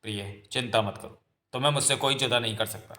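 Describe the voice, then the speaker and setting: A man's voice: medium pitch, a little fast, bright, forceful tone, lightly acted. A man voicing an earnest, lovestruck young landlord's son who pleads respectfully in a dramatized comic-book story. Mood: reassuring.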